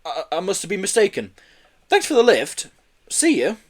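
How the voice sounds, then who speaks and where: A man voicing an anxious, bossy, excitable young boy in a storybook, speaking urgently. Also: high-pitched, normal speed, voice pushed up, heavily acted. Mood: uneasy. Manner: urgent, exclamatory.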